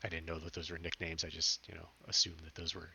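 A man with a very low voice and an unusually bright, sharp edge chats on a podcast. He speaks thoughtfully, hesitating as he works through his points. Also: completely flat delivery, fast talker, creaky, breathy edge. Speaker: podcast co-host. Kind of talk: casual book discussion.

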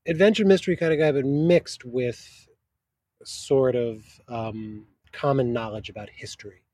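A man's voice: medium pitch, a little slow, darkish tone, husky, no room sound. A man who works as a literary translator, talking in a casual interview, thoughtful and often searching for the right words mid-sentence.

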